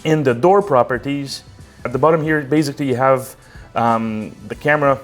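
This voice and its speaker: A man's voice: mid-range voice, normal speed, faint music behind. A man presenting a step-by-step technical tutorial in an unscripted, conversational way, often checking in as he explains.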